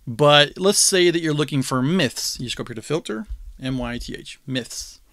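A man casually walking viewers through a software tool on his screen, thinking aloud with fillers and small chuckles. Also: on the high side, lively intonation, normal speed, no echo, clean studio sound. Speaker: screencast tutorial host.

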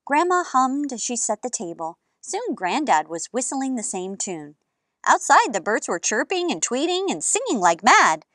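High, animated woman reading to children. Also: normal speed, no room sound, clean studio sound. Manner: animated, gesturing. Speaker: children's storytime host. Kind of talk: expressive storybook narration and singalong.

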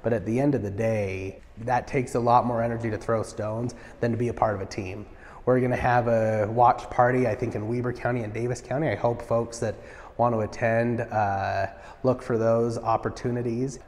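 A man's voice: mid-range voice, fairly even intonation, moderate pace, darkish tone, in a large hall. A man in his thirties, a party official, answering interview questions earnestly with many "uh" fillers, on a lapel mic in a marble stairwell. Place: large marble stairwell indoors.

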